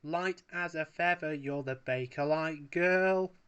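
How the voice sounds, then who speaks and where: A man's voice: on the high side, takes it slowly, fairly bright tone, clean tone, lo-fi sound, pitched above the performer's own voice. A man voicing a fussy, eager, easily flustered and smitten fellow in a storybook. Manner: fussing, eager, stammering.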